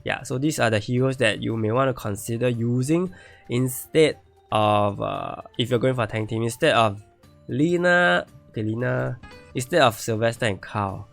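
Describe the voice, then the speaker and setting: A man's voice: medium pitch, normal speed, vocal fry, fairly bright tone, soft background music. A man streaming a game and reviewing viewer accounts, thinking aloud and repeating himself as he starts to lose his voice. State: losing his voice. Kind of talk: casual live-stream commentary.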